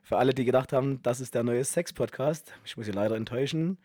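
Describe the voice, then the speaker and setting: A man's voice: mid-range voice, fast talker, darkish tone, no echo, clean studio sound. A man in his thirties hosting a casual podcast chat and cracking jokes as he talks. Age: 30s. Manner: joking, chatty. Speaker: podcast host and linebacker coach.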